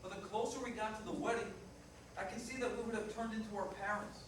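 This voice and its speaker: A man's voice: high voice, steady tone, fast, natural voice. A man acting a restless, blunt Italian-American ex-fiancé in a staged play reading. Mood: earnest, frustrated. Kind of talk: staged reader's theater dialogue. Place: live staged reading in a reverberant room. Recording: echoey, noisy room, distant mic.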